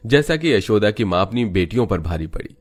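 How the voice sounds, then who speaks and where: A man's voice: on the low side, big pitch swings, a little fast. A man narrating a scripted moral story as a storyteller.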